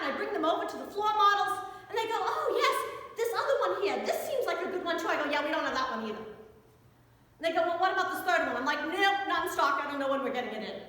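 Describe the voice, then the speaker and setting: A middle-aged American woman, a pastor, giving a storytelling sermon in an animated, wry manner inside a church. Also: extremely high pitch. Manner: animated, wry storyteller who acts out dialogue. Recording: echoey church hall with long reverb.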